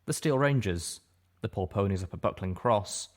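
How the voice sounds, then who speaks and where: A man's voice: fairly low pitch, expressive, moderate pace. A man reading a first-person story aloud as dramatic scripted narration. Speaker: audiobook reader.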